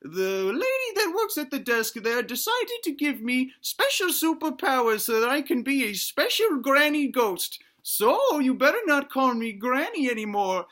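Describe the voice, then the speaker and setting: An old granny from a comic spooky story. The voice is pitched very high and bright. The delivery gushes and stretches words out, doting and full of cocky pride. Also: male voice, sing-song, moderate pace, few pauses, exaggerated voice. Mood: cocky, proud.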